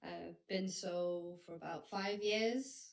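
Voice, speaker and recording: A woman's voice: fairly low pitch, steady tone, takes it slowly, gravelly. A woman from Norway, guest co-hosting a casual podcast, laughing often and easygoing. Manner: giggly, easygoing. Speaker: guest co-host. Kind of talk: casual chat.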